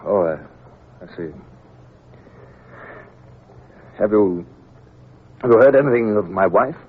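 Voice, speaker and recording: An actor playing a naval captain who narrates his own story in a measured, somber, reflective way, in an old radio drama. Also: on the low side, lively intonation, lightly acted. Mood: hesitant. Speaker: narrator and lead character. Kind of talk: 1950s-style radio drama. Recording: tinny, hissy old radio broadcast.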